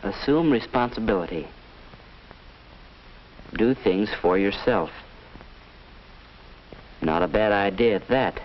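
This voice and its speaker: A young actor playing an earnest, eager high school student in a 1950s instructional film. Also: male voice, animated, takes it slowly, long pauses. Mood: musing. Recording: muffled old film soundtrack, faint noise.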